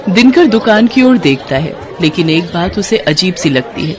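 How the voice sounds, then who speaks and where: A woman's voice: fairly low pitch, big pitch swings, moderate pace, a crowd in the background. A woman reading scripted narration as the storyteller of a cartoon moral tale.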